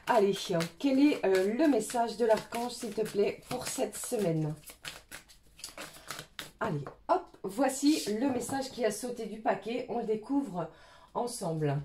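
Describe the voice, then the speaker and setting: Hoarse French woman with a cold. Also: fairly high pitch, lively intonation, a little slow, a little hiss or hum. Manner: gentle, many hesitations. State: has a cold, hoarse and coughing. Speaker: tarot and oracle card reader. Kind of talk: weekly card reading guidance.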